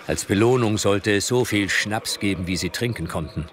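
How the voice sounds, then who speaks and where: A man's voice: on the low side, moderate pace, crowd noise. A man narrating a horror audio drama from a script in a dramatic storytelling style. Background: loud music.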